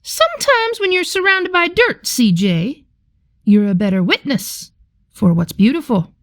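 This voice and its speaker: A woman reading a picture book puts on a wise, no-nonsense grandmother. The voice swoops high and expressively, folksy and cheerful as it gently chides. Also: sing-song, unhurried, no echo, clean studio sound.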